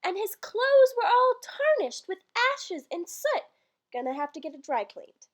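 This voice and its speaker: Very high-pitched woman, theatrical storyteller. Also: very animated, a little slow. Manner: theatrical storytelling delivery broken by dry, quieter asides. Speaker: reader. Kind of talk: dramatic comedic reading of a Christmas poem with ad-libbed asides.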